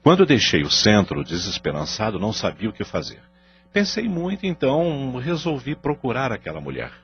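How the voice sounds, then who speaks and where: A man's voice: mid-range voice, wildly swooping pitch, normal speed, breathy edge, lo-fi sound, full character voice. An actor with a Brazilian accent playing a contrite, earnest husband and father in an audio drama. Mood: earnest, defensive.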